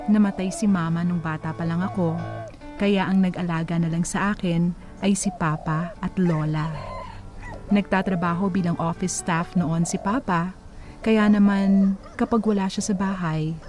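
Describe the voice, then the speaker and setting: A woman's voice: on the low side, warm tone, natural voice. A Filipino woman playing an earnest young letter-writer who narrates her life in a radio drama. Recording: music bed under the voice.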